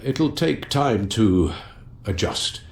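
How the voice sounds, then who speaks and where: Slow, deep-voiced older butler. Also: male voice, animated, creaky, exaggerated voice. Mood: weary, tender. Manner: measured, formal, dryly courteous. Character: loyal older male butler, wounded, gentle and fatherly. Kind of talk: dramatic video game acting. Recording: roomy sound with loud music behind.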